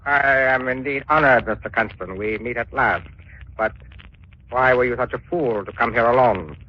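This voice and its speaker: An actor playing a cold, commanding crime boss in formal, pompous villain speech, in the style of a 1940s radio thriller. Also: male voice, medium pitch, normal speed, slight background noise, close to an everyday voice. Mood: sinister, courtly. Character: menacing male crime boss called the Dragon, cold and commanding. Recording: dull lo-fi 1940s radio recording.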